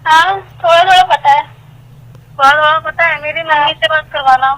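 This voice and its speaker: A woman's voice: high voice, moderate pace, sharp tone. A woman talking informally on a recorded phone call, hesitant and repeating herself as she explains. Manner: hesitant, repetitive, evasive. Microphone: telephone.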